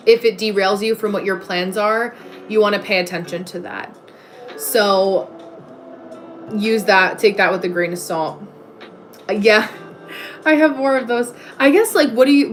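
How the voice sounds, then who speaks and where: A woman's voice: mid-range voice, a little hiss or hum. A woman hosting a livestream in a casual, chatty way, replying to comments and breaking off to greet viewers. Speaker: livestream host. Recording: music playing behind the voice. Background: music.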